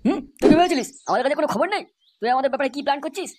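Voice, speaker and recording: A performer voicing an excitable, threatening, foul-mouthed tough character in a comedy cartoon. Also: sits high, rapid-fire, very noisy. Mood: gloating, scolding. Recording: loud music under the voice.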